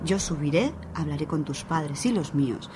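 A woman's voice: low voice, lively intonation, normal speed, mellow, a little hiss or hum. An actress in a radio serial playing a sensible but flustered young woman with a Spanish accent, exclaiming and stammering in exasperation. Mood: firm, exasperated. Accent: Spain. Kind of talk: audio drama acting.

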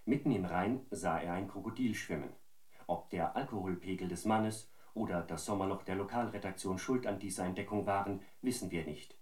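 A man's voice: low-pitched, brisk, a little mellow, reverberant, natural voice. A man playing a wry radio news reporter, reading a scripted report in a polished, tongue-in-cheek way.

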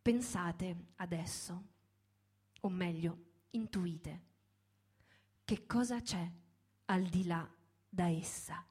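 A woman giving a measured, solemn dramatic reading of literary prose. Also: lowish voice, darkish tone, husky. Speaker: reader in a radio reading performance. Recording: music behind the voice. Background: instrumental music.

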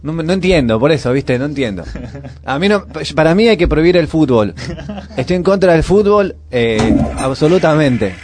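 A man's voice: a little high, lively intonation, normal speed, darkish tone. A man with a Buenos Aires accent in casual radio chat as co-host, blunt, informal and questioning. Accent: Buenos Aires Argentine.

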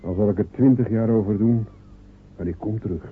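A man's voice: fairly low pitch, a little fast, slightly rough. An actor playing a proud, stubborn small fisherman who is set on proving himself, speaking earnestly and defiantly in a radio play. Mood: determined. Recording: narrow phone-like old radio recording.

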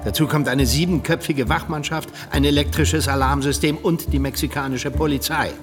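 An actor playing a controlled, authoritative Soviet agent handler in a radio drama. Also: male voice, on the high side, fairly even intonation, moderate pace, music in the background, natural voice. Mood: cold, businesslike.

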